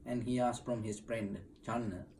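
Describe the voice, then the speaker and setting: A man, a Buddhist monk being interviewed in a temple, explaining slowly with many fillers and restarts. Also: mid-range voice, flat delivery, mellow, slight background noise. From Sri Lanka.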